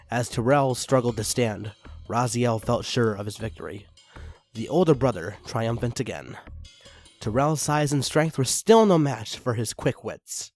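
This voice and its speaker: A man's voice: mid-range voice, darkish tone. A man reading fan fiction aloud as a dramatic story narrator.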